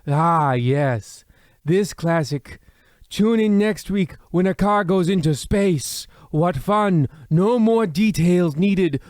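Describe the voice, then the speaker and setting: A man's voice: sits high, expressive, slow pace, a little mellow, no room sound, clean studio sound. A man playing a sleepy, pompous, testy host in a parody of old radio mystery shows, rambling theatrically. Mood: testy, whimsical. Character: sleepy, pompous male horror-anthology narrator, testy and rambling.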